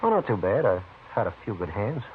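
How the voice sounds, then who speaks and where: Through a tinny, hissy radio recording comes a mid-pitched male voice that swings widely. It is a shifty American frontier gambler, evasive and defensive, in 1950s radio drama style. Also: very animated, a little fast. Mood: guarded. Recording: noisy, narrow-band 1950s radio recording.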